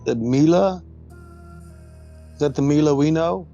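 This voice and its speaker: A man's voice: fairly high pitch, unhurried, creaky, dark tone. A man playing a tabletop role-playing game, talking casually and improvising, on a dull lo-fi recording with music behind.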